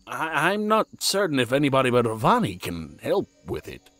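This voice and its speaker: A man's voice: medium pitch, wildly swooping pitch, moderate pace, fairly bright tone, no room sound. A man playing an earnest wood elf druid in a fantasy audio drama, hesitant and stammering. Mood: unsure.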